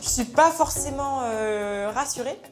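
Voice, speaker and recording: A woman's voice: high-pitched, a little slow. A woman zookeeper narrating her day for a documentary, candid about her nerves, congested with a head cold. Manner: candid, admits nerves plainly. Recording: background music.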